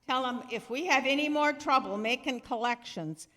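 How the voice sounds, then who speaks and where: A commanding, ruthless gangster boss, played by a woman in vintage radio drama style. The voice is on the high side and barks slangy orders. There is a long hall reverb around it. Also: normal speed, a bit bright. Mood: triumphant, hurried. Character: male gang boss, bossy ruthless gunman. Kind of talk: 1930s radio crime drama acting.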